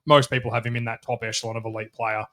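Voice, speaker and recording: A man's voice: mid-range voice, flat delivery, quick pace, sharp tone. A man hosting a casual sports podcast, bantering and laughing with his co-hosts. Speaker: podcast host and show owner.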